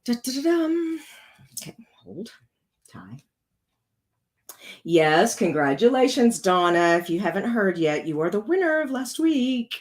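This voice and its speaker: A woman with a rough, congested voice hosting a casual card-making tutorial, chatting her way through each step as she works. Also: medium pitch, big pitch swings, a little slow, pauses often.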